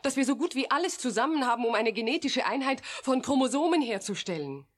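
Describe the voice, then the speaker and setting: A woman's voice: high-pitched, expressive, quick pace. An actress in a German radio drama playing a proud, defensive female scientist, self-assured and dismissive. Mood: alarmed, indignant.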